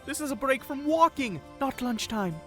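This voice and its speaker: A man's voice: very high voice, lively intonation, normal speed, soft background music. A man playing a bookish, fussy and slightly nervous traveller in an audio drama, with a dry, pedantic manner. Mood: dry, patient. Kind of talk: audio drama character acting.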